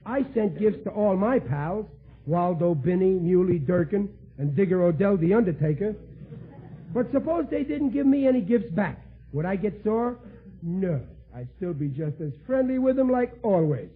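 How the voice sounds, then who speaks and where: A man's voice: sits high, expressive, slightly rough. An actor playing a well-meaning, dim-witted middle-aged American working-class father in 1940s radio comedy style, blustering and folksy. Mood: fatherly. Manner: blustering, folksy, slow-witted delivery with malapropisms. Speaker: lead actor in a radio sitcom. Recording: tinny, narrow-band 1940s radio broadcast.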